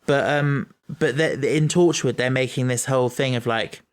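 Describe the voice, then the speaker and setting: A man's voice: mid-range voice, fairly even intonation, moderate pace. A man co-hosting a casual podcast chat, thinking aloud with many restarts and stumbles. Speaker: podcast co-host.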